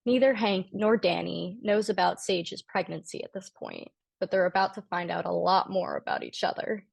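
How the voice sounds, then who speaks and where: Creaky-voiced woman narrating, dry recording. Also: lowish voice, fairly even intonation, normal speed, no room sound. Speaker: writer-director reading stage directions. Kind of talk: scripted narration, introducing a table read.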